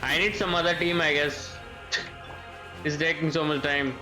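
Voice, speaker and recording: A man's voice: fairly high pitch, steady tone, normal speed, bright, forceful tone, faint music behind, rough recording. A man, chatting casually with viewers as he streams a game, often repeating short phrases. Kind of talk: casual live gameplay chat with viewers.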